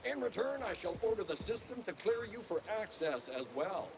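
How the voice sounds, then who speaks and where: A flamboyant sci-fi game eccentric with a high voice that swoops wildly. He is pompous and florid, with a creaky edge and theatrical delivery. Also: very animated, moderate pace, full character voice. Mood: effusive, grandiose. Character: flamboyant, grandiose, grateful eccentric man in a sci-fi world. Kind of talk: video game character acting. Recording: noisy ambient background.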